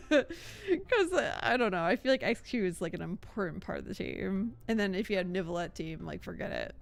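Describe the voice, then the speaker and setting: A woman's voice: medium pitch, expressive, normal speed, creaky. A woman live streamer chatting casually over a game, giggly and rambling. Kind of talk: casual gaming stream chat. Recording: background game music.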